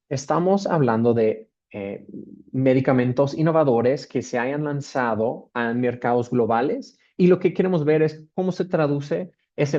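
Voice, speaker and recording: A man's voice: mid-range voice, gravelly, warm tone. Australian man presenting, dull muffled audio. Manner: hesitant, with many fillers and restarts. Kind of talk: webinar presentation.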